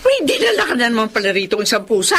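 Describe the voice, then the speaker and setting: Nagging mother, lively high voice. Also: racing, slight background noise. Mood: exasperated, nagging. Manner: scolding, complaining. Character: nagging Filipino mother, exasperated but caring. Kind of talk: Filipino radio-style drama acting.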